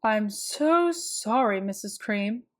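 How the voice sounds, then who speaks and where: Woman as a male school principal, apologetic, worried, formal, hesitant, unhurried pace, storybook. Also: medium pitch, lively intonation. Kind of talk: children's storybook read-aloud.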